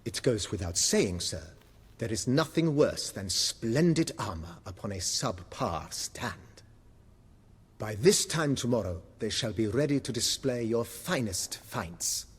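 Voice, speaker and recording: A man playing a deferential, fussy butler in a video game, with a breathy, husky voice and formal, florid, obsequious speech. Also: on the low side, lively intonation, measured pace, long reverb tail, full character voice. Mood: eager, deferential. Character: deferential, fussy, eloquent male butler of an estate.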